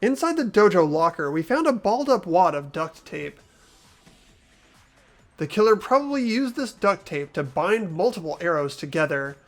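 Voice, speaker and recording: A man voicing an earnest teenage boy who patiently reasons out a murder case, in a fan-voiced game. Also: high voice. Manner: earnest, explanatory. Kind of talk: fan-voiced visual novel game acting.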